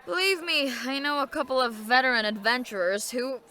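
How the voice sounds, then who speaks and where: A woman's voice: high voice, expressive, brisk, sharp tone. A woman playing a cocky, teasing older sister and skilled swordswoman in a fantasy audio drama, brash and informal. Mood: playful teasing.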